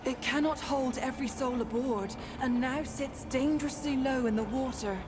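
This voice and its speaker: A woman playing an ethereal, playful and probing seer spirit in a fantasy game, speaking in a deliberate, mysterious, riddling way. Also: high voice, steady tone, lightly acted. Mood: measured, storytelling. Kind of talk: theatrical fantasy game dialogue. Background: music.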